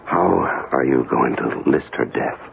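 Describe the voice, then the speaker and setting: An actor playing a practical, skeptical investigator with an insistent, questioning manner in a 1970s radio drama. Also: male voice, rumbling low, measured pace, vocal fry, exaggerated voice. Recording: muffled, noisy old radio broadcast.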